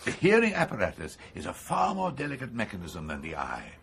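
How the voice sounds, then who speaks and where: An actor playing an eager, persuasive neurosurgeon with a macabre obsession in a radio horror drama, speaking insistently and clinically with a British accent. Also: male voice, on the low side, huge pitch swings, a little fast, a bit bright. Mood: brisk, coaxing. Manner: insistent, enthusiastic, coolly clinical lecturing. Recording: faint background noise.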